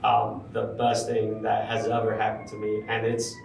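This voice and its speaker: Brief: young man, interview guest, halting and thoughtful, distant mic in a roomy space with faint ambience. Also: on the low side, completely flat delivery, gravelly, a little hiss or hum. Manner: thoughtful, frequent ums, restarts. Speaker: construction project superintendent, guest. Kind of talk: casual interview conversation. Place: large room at a university center.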